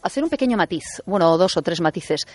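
A middle-aged woman radio host, talking fast and articulately as she firmly defends herself on air. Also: on the low side, lively intonation, a little mellow. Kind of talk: on-air debate with a caller.

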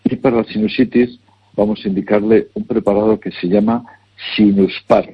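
Very deep breathy male health advisor. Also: fairly even intonation, lo-fi sound. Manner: measured, instructive, repeats product names. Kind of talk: advisory call-in consultation.

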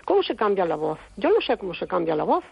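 A woman's voice: mid-range voice, big pitch swings, quick pace, gravelly, husky, dull audio. An older woman calling in to a radio show, chatty and insistent, who repeats herself as she talks.

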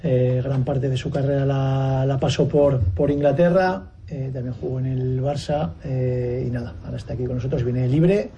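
A man's voice: medium pitch, steady tone, moderate pace, hardly any pauses, dark tone, lo-fi sound, a little hiss or hum. A man introducing a new player at a press conference, hesitant with many fillers. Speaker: football director at a press conference.

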